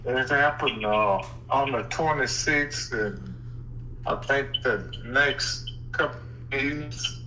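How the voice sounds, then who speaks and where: Male call recipient, unhurried and halting, compliant, heard distantly through a muffled phone. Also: mid-range voice, sharp tone. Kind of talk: answering questions on a phone call. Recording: dull distant phone line, faint noise.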